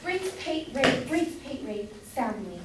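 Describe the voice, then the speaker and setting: A woman's voice: sits high, big pitch swings, slow, exaggerated voice. Woman playing fussy doting wife, echoey and distant. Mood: indignant. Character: fussy, doting, meddlesome London citizen's wife. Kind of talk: amateur staged reading of Jacobean comedy. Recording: distant echoey room, background hiss.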